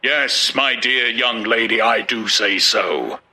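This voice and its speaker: A male narrator playing a pompous older official who booms out facts and unasked-for advice. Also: slow pace, pushed and bright. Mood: triumphant. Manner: booming, lecturing, self-satisfied.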